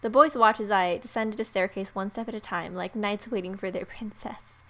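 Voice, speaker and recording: A woman's voice: medium pitch, moderate pace, a bit bright. A woman reading a visual novel aloud as scripted narration, with casual asides. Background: faint music.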